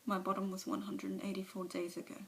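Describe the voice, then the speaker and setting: A woman's voice: medium pitch, monotone, fast talker, vocal fry, fairly bright tone. A woman performing a monologue as a remorseful recovering alcoholic mother, speaking confessionally and sometimes dropping to a whisper, recorded on a phone camera. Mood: ashamed.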